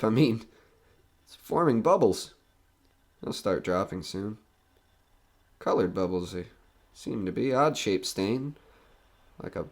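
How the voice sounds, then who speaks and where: A man playing a nervous radio reporter who is coming unravelled in a horror audio drama, talking in a halting, rambling way. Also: on the low side. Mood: lonely, brooding.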